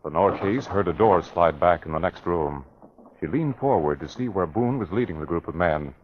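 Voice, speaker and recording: A man's voice: deep voice, expressive, normal speed, slight background noise. A man narrating a classic radio mystery drama in a scripted, dramatic style. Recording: dull vintage radio broadcast recording.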